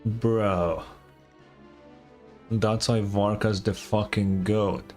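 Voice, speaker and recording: A man livestreaming a game, giving casual, slangy, profane reactions in halting bursts. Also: lowish voice, little pitch movement, darkish tone, faint music behind. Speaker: game streamer.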